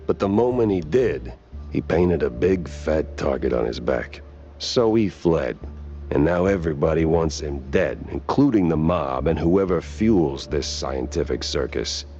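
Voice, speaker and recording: An actor playing a world-weary, wisecracking cartoon private detective with a wry, laconic delivery. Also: male voice, rumbling low, mellow, lo-fi sound, heavily acted. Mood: dry, weary. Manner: wry, laconic, hesitant drawl. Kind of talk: 1930s hardboiled detective game acting.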